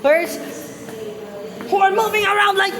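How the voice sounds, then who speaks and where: A woman reacts casually to videos with a blunt, dismissive, sweary manner. She speaks slowly, and her high voice rings with heavy room reverb over faint noise. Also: fairly even intonation, a bit bright.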